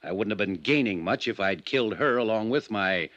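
A man's voice: on the low side, lively intonation, fairly quick, a bit bright, highs cut off. An actor playing a bitter, defensive businessman under suspicion, in earnest 1950s radio drama style.

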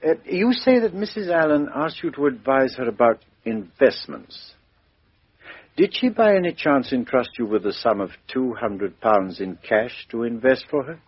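An actor playing a calm, methodical male police chief inspector who questions in a measured, coolly ironic way, in a 1950s radio drama. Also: medium pitch, slightly rough. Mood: probing. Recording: dull lo-fi old radio recording.

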